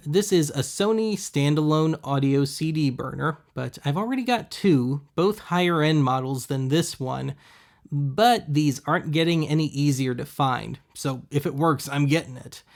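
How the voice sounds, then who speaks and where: A man's voice: fairly high pitch, creaky. A man hosting a thrift-shopping video, giving casual voice-over commentary in a wry, sarcastic manner.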